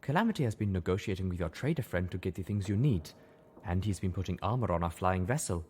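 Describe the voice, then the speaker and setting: A man acts out a grave female zebra healer in a fantasy audiobook. The delivery is quiet, flat and measured. The character comes across as calm, blunt and firm. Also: sits low, a little hiss or hum. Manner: quiet, solemn, flat and deliberate.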